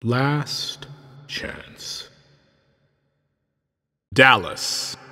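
A man's voice: mid-range voice, drawn-out words, bright, forceful tone, heavily acted. An actor playing a coldly courteous, menacing criminal mastermind in a video game, formal and pompous in his delivery. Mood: disdainful. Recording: long reverberant hall.

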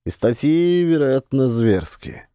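A man voicing a gruff, weary male camp warehouse chief who talks in a mocking, curt way. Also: mid-range voice, sing-song, slow pace, mellow, no room sound, clean studio sound, pitched above the performer's own voice.

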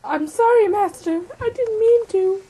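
A meek servant doll with a tiny high voice, acted out by a woman. She is submissive and apologetic in a comic homemade story, with clear noise behind her. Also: measured pace, mellow, rough recording.

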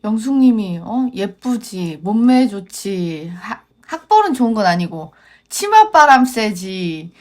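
Lively, chatty young woman co-host. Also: mid-range voice. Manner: chatty, expressive, quick reactions with laughs. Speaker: podcast co-host reviewing a dating show. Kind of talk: casual chat review.

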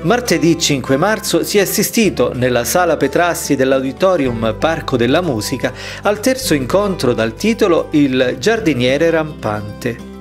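A man's voice: on the high side, big pitch swings, normal speed. A man reading a scripted voice-over report as narrator. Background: music.

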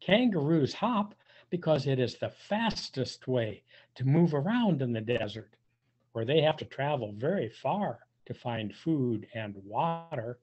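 An older man reading a picture book aloud to young children, with drawn-out emphasis and playful questioning. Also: medium pitch, expressive, a little mellow. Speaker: volunteer storybook reader for young children. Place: indoors, on a video call. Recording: dull, muffled webcam audio.

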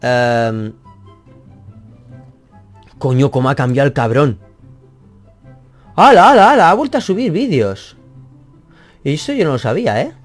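A man's voice: medium pitch, big pitch swings, measured pace, long pauses. A young man who grew up speaking Catalan talks into a headset at his office desk, wandering off track as he reacts to comments. He runs himself down and swears offhandedly. Faint music plays underneath. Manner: rambling, self-deprecating, swears casually. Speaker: math youtuber. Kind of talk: reading and answering comments.

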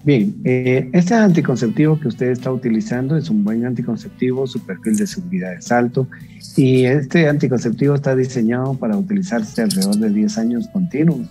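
A middle-aged man with a Guatemalan Spanish accent, a doctor calmly answering call-in questions with frank advice and rhetorical questions. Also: medium pitch, fairly quick, soft background music. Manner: calm, explanatory, asks rhetorical questions. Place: home room on a video call.

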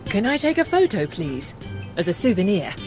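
A woman's voice: medium pitch, sing-song, normal speed, darkish tone, lightly acted. A woman voicing a polite, kindly and easily worried mother goat in a children's cartoon game. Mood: pleased. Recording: music behind the voice.

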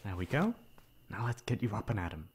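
A young man playing a caring, mildly exasperated boyfriend in an ASMR roleplay, coaxing and teasing with breathy sighs. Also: fairly low pitch, very animated, a little fast. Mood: concerned, coaxing.

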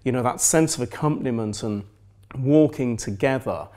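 A man in his forties, a priest hosting an indoor interview, who reflects gently and pauses often on ums. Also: mid-range voice. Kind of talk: conversational interview. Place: indoor room with plain walls.

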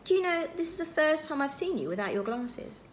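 A frank and caring teenage boy from a storybook, played by a woman reading aloud. He is direct and gently probing, with a fairly high voice. The recording is a little noisy. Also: sing-song, fairly quick. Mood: gentle, coaxing.

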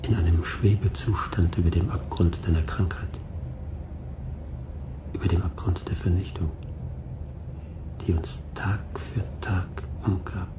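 Male radio-drama narrator: grave, grieving scientist, very low hushed voice over noisy ambience. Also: fairly even intonation, a little slow, pauses often. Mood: melancholy, intimate. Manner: hushed, grave, often whispering.

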